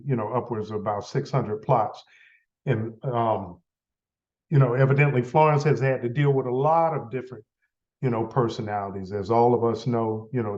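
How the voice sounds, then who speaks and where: A man with a cold, sounding a little stuffy, leading a members' meeting in a halting, filler-heavy way. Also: fairly low pitch, a little mellow, highs cut off. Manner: halting, many fillers and restarts. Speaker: association leader running a meeting. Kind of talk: casual meeting update.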